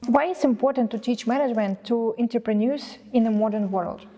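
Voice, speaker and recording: A woman's voice: fairly high pitch, brisk, warm tone. A woman interviewing a guest in English, asking polite questions with some hesitations and fillers. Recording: reverberant room.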